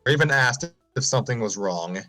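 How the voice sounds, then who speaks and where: Animated man reading stories aloud. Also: mid-range voice, normal speed, faint music behind. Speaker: streamer reading fan fiction aloud. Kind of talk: live comedic story reading with asides.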